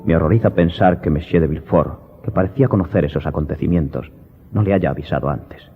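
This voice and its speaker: A proud young gentleman of radio drama, acted by a man, solemn and formal. The voice sits low and each phrase is deliberate. The sound is narrow and muffled, with music playing behind it. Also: creaky, husky, exaggerated voice. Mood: cold, offended. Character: proud, honor-bound young French gentleman, grave and formal. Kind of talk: Spanish-language radio drama acting. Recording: muffled narrow-band audio under music.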